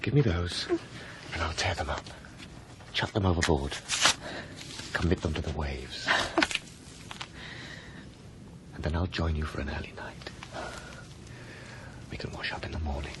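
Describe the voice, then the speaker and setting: An actor playing a domineering, jealous husband in a radio drama, intense and volatile, swinging between tenderness and temper. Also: male voice, rumbling low, normal speed, pauses often. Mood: brisk, reassuring. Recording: radio drama with loud background noise.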